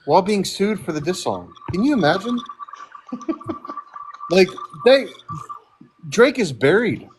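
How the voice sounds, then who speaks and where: An American man in a casual online group chat who talks in an eager, excitable way. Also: high voice, unhurried, pauses often, a little hiss or hum, poor audio. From United States.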